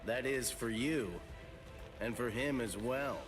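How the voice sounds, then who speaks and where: A man playing a polite, composed, grave warrior in an anime game dub, courteous and measured. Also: mid-range voice, lightly acted. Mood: grave, apologetic. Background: game music.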